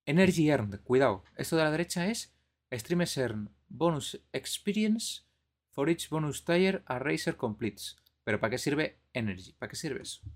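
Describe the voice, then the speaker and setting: A man with a Madrid Spanish accent, hosting a casual live stream and commentating on a game in a bemused, questioning way. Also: mid-range voice. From Madrid area, Spain. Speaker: podcast host and streamer.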